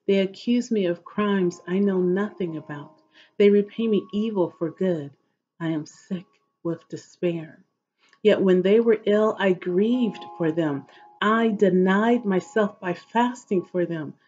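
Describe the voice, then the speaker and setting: Husky woman reading scripture slowly. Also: lowish voice, warm tone. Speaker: host of an inspirational reading series. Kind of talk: devotional scripture reading aloud. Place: living room at home, indoors. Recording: dull, lo-fi webcam audio.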